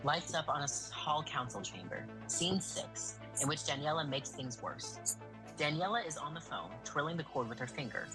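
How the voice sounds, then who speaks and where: A woman's voice: sits low, lively intonation, normal speed, music in the background, rough recording. A woman narrating stage directions for an audio drama.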